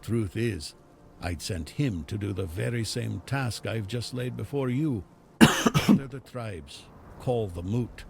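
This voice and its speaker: Gruff fantasy game chieftain type, deep and really croaky, grave delivery, music behind. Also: male voice, takes it slowly. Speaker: video game character. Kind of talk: dramatic fantasy game dialogue. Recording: game dialogue over clear music.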